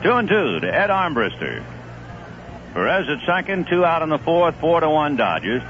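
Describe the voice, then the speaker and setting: Guy doing baseball play by play, bouncy voice, old radio with faint hiss. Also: normal speed. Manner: steady, conversational play-by-play with live pitch calls. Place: stadium broadcast booth. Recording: muffled 1970s AM radio broadcast with faint hiss.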